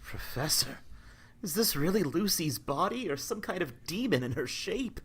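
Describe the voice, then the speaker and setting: Guy acting a distraught young man, halting radio drama voice, pitch jumps around, loud noise behind. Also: big pitch swings. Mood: troubled. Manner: halting, stammering. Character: anguished, hesitant young man, bereaved suitor.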